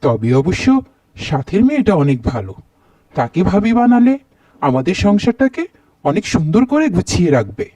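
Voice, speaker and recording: A man's voice: sits high, very animated, normal speed, warm tone, no room sound. A man voicing male characters in a dubbed cartoon, muttering exclaiming asides to himself.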